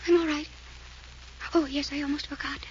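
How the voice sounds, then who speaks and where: An actress playing a frightened young woman who speaks in hushed, halting whispers in an old-time radio serial. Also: high-pitched, flat delivery, noisy background, lightly acted. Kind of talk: 1940s radio acting.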